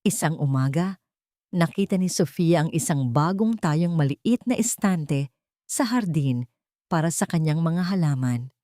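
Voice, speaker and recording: Expressive low-voiced Filipino woman storyteller. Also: a little slow, dry recording, clean studio sound. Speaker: storyteller, narrator. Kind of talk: dramatic scripted story narration.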